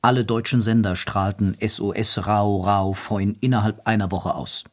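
A man's voice: fairly low pitch, steady tone, normal speed. A man reading scripted documentary narration in a sober, matter-of-fact way.

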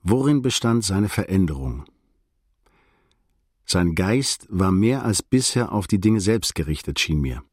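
An actor playing a reflective male prosecutor, narrating a literary radio drama in a measured, detached, observant way. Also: low-pitched, very animated, husky, dry recording, studio quality, full character voice. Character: reflective male state prosecutor recounting a visit to friends.

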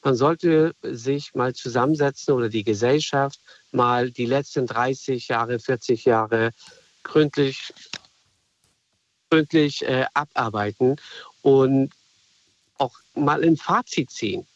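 A man's voice: medium pitch, no echo. Earnest man calling in by phone. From Afghanistan. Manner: earnest, reflective, halting. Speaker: caller. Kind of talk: call-in radio comment. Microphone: telephone. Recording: dull phone line.

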